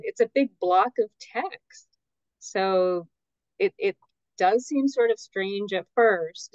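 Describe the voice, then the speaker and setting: Female guest expert, mid-pitched, measured and careful to qualify, dry and slightly muffled lo-fi recording. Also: no room sound. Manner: measured, tentative, qualifies her points. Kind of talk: conversational interview answers.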